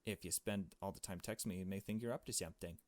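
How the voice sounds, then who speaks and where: A man's voice: low voice, quick pace, no echo, close to an everyday voice. A man voicing a bossy, scheming young woman from a visual novel, curt and commanding. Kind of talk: visual novel dialogue read aloud.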